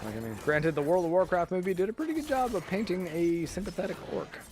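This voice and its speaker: Animated, higher-pitched man chatting casually. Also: fast talker, a little hiss or hum. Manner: animated, joking, often breaking into chuckles. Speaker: livestream host. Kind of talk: casual rambling chat to stream viewers.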